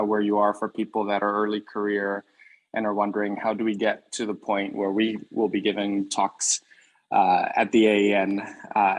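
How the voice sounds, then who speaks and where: A man hosts a webinar question session over a video call, with a lowish voice. He speaks in a flat, even tone and relaxes into fillers. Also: rough recording. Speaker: webinar moderator. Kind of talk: hosting a Q&A.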